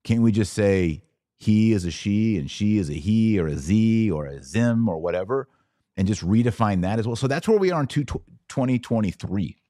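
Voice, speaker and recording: Deep-voiced man ranting as podcast host. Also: lively intonation, normal speed, mellow, no room sound, studio quality. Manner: emphatic, preachy, with rhetorical questions. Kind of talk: live religious commentary rant, reading audience comments.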